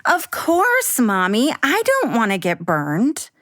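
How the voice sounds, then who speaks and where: A woman's voice: fairly high pitch, wildly swooping pitch, slow pace, fairly bright tone, no echo, clean studio sound, heavily acted. A woman voicing an eager, earnest little girl in a children's storybook, with a wide-eyed, sing-song manner. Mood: eager, pleading.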